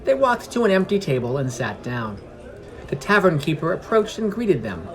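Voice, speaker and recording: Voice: medium pitch, big pitch swings, normal speed. A podcast narrator reading a scripted fantasy story. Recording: music under the voice. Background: background music.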